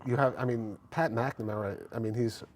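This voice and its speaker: A man's voice: medium pitch, fairly even intonation, normal speed, a little mellow. A man hosting a casual talk show interview, speaking conversationally and repeating phrases for emphasis. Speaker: talk show host and producer.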